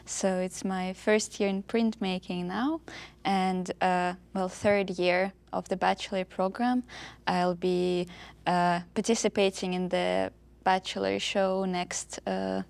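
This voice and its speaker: A young woman from Russia, a student on a panel, speaking hesitantly with many pauses on a lapel mic. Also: mid-range voice, flat delivery, vocal fry, darkish tone. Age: young adult. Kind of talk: casual panel chat.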